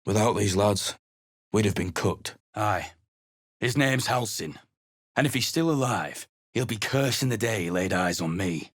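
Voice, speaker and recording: A surly mercenary. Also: male voice, fairly low pitch, lively intonation, a little slow, no echo, studio quality. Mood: gruff. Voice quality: gruff, blunt and sarcastic. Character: surly male mercenary adventurer, blunt and weary. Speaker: game character. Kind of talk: video game voice acting.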